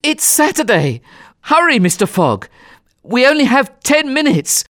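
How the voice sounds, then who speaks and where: An excitable, loyal manservant from a storybook, as a man acts him out. His voice jumps well up in pitch with urgent, breathless energy. It is recorded dry and close. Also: slow, no room sound, full character voice. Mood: urgent, excited. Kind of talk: dramatised audiobook dialogue.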